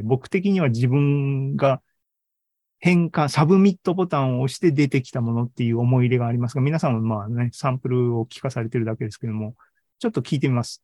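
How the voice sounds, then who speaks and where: A man giving a casual live presentation as host, halting and thinking aloud as he goes. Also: medium pitch, warm tone, no echo. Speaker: podcast host and tech presenter. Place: online video meeting talk, dry close sound.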